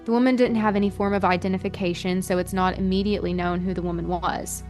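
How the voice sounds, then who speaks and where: A woman's voice: lowish voice, fairly even intonation, fast, a little mellow, soft background music. A woman hosting a podcast, telling a true-crime story in a conversational, colloquial way. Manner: casual, colloquial phrasing with frequent "like". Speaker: podcast host.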